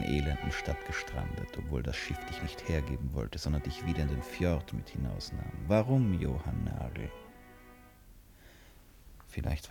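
Deep, creaky-voiced brooding male stranger. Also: exaggerated voice. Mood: agitated musing, then wistful musing. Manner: musing, erratic, self-questioning, theatrical. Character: brooding, restless male stranger talking to himself. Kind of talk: 1950s German radio play monologue. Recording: 1950s radio drama recording.

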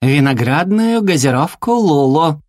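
A man's voice: fairly high pitch, lively intonation, unhurried, darkish tone, no room sound, studio quality, pitched above the performer's own voice. A man voicing a cute, small, furry female villain in an audiobook, sweetly polite and pouty with gushing manners. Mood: gushing, earnest.

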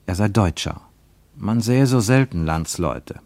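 A man as the narrator of a radio play travelogue, reading literary prose in a measured, reporting manner. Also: lowish voice, animated, a little mellow, dry recording. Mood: measured, observant.

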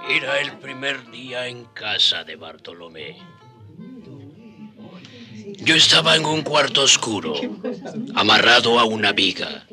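An actor playing a captive native man who gravely narrates his memories in a radio drama. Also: mid-range voice, big pitch swings, unhurried, pauses often, over music. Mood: grave, sorrowful. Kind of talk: scripted first-person drama narration.

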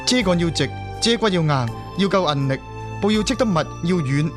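A man's voice: sits high, animated, a little fast, music in the background. A man playing a shy, earnest young umbrella maker in a drama, with a hesitant, bashful manner. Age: young adult. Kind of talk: broadcast drama acting.

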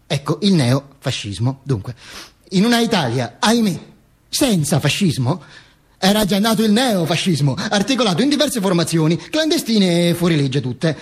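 Dead dictator, very high voice, husky, vain, declamatory, insistent, theatrical radio monologue. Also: male voice, sing-song, moderate pace, exaggerated voice. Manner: emphatic, declamatory, with insistent repetitions and interjections. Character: dead Mussolini, vain, ranting, self-mocking dictator narrating his afterlife. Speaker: stage actor performing own monologue.

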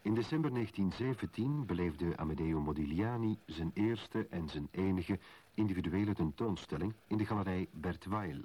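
A man's voice: low-pitched, expressive, normal speed, warm tone, slight background noise. A man narrating facts formally in a scripted documentary style for a radio drama. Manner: formal, factual.

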